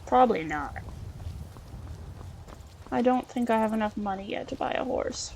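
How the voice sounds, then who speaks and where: A woman's voice: on the high side, animated, normal speed, gravelly, a little mellow, a little hiss or hum. A woman playing a polite, wry scholar adventurer, musing aloud in character through a video game. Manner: musing, chatty, dryly polite.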